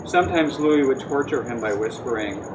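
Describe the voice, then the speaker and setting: A man's voice: mid-range voice, expressive, moderate pace. A man reading aloud from his own novel as its author at a live literary event. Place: bookstore cafe reading event. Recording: distant mic, very loud room noise.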